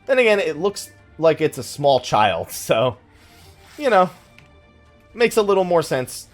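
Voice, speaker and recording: A man's voice: on the high side, very animated, measured pace, soft background music. A man hosting a gaming let's play, chatting casually in a rambling, joking way with mock exasperation and self-mockery. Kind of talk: casual chatty game commentary.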